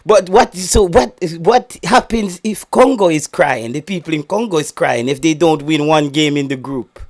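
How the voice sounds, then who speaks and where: A man's voice: on the high side, normal speed, a little mellow. A man hosting a football chat with casual, teasing banter and informal, repeated phrases. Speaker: host.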